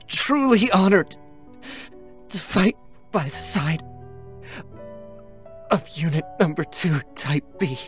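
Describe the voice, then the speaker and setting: Casting: gentle, dying young android boy. Very slow, halting, breathy delivery, game drama, soft music underneath. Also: sits high. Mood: halting, devoted. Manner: halting, tender, broken phrases. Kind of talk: dramatic scripted reading. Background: faint background music.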